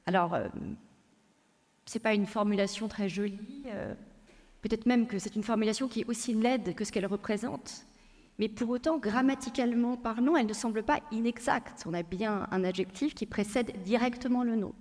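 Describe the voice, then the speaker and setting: Woman, measured storyteller, fairly high voice, big hall reverb. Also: a little mellow. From France. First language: Persian. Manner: measured, storytelling delivery with frequent hesitations. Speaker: lawyer and speaker. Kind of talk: prepared public talk.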